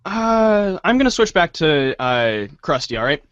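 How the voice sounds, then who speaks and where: A woman's voice: lowish voice, big pitch swings, measured pace, smooth, no room sound, dull audio. A woman acting in a casual comic table read, hesitant and a bit exasperated, breaking off for asides. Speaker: actor in a reader's theater show.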